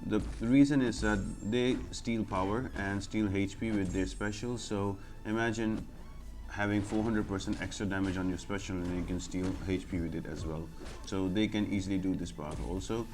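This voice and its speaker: A man's voice: lowish voice, fairly even intonation, normal speed, a little mellow. A man giving casual, instructional commentary as he walks viewers through a video game. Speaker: game guide video creator. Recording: voice-over with music behind. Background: clear music.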